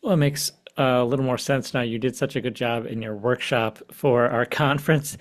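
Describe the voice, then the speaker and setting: Friendly man hosting a relaxed interview. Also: medium pitch, a little fast. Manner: friendly, laughs easily, hesitant restarts. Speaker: host and publisher. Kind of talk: casual interview conversation.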